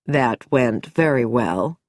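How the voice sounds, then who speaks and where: A woman voicing a smooth, coolly amused middle-aged male doctor who speaks in a measured, quietly mocking way. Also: a bass voice, expressive, no echo, studio quality, pitched below the performer's own voice, exaggerated voice. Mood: suave, amused.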